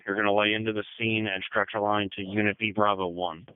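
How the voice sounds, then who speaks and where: Male dispatcher, low clear voice, procedural radio read-backs, thin phone-like narrowband sound. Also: level tone, a little fast, no echo. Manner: procedural, reads back messages. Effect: two-way radio. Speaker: county fire dispatcher.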